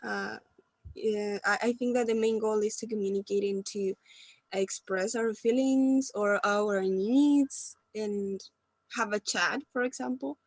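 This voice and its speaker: Slow-spoken young woman, Spanish accent. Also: medium pitch, steady tone, no echo, rough recording. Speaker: university student. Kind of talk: class discussion.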